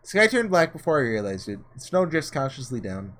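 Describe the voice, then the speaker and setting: A man's voice: mid-range voice, big pitch swings, a little fast, fairly bright tone. A man doing let's-play commentary, reading a visual novel aloud dramatically and tossing in offhand, sarcastic asides. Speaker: gaming host reading aloud and reacting.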